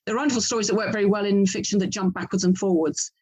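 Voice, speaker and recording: A woman's voice: medium pitch, fairly even intonation, very fast, darkish tone, slightly rough. Thoughtful woman in conversation. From England. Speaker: interview guest, author and audio drama producer. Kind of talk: conversational interview.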